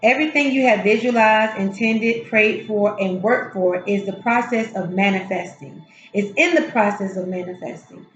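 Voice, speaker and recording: A woman hosting a tarot and spiritual channel, her voice a little hoarse, talking slowly and encouragingly from her home healing space. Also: mid-range voice, reverberant, dull audio, faint music behind. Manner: soft, unhurried, encouraging, with drawn-out pauses. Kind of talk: casual spiritual encouragement talk.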